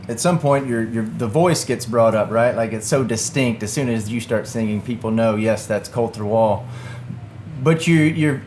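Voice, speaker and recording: Man hosting an interview, mid-pitched. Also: a little mellow, slight background noise. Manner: friendly, brief interjections and questions. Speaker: podcast host. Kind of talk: casual interview.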